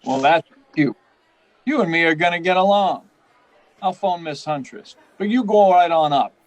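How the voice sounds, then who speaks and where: A man's voice: on the high side, normal speed, no echo, lightly acted. A man playing a slangy, wary, bribable hotel house detective in an old-time radio play. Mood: sly, agreeable.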